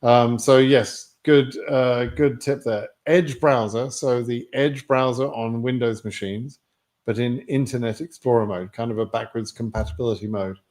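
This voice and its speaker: A man's voice: medium pitch, steady tone, moderate pace, darkish tone. A man with a British accent hosting a livestream Q&A, reading out viewer questions and answering them bluntly and matter-of-factly. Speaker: livestream host answering immigration lottery questions.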